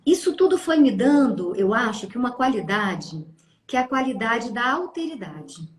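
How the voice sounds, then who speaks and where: Animated Brazilian woman telling her story. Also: fairly high pitch, moderate pace. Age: 50s. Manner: animated, acts out quoted voices, frequent "né?". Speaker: guest, family constellation therapist. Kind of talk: interview storytelling. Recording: echoey room.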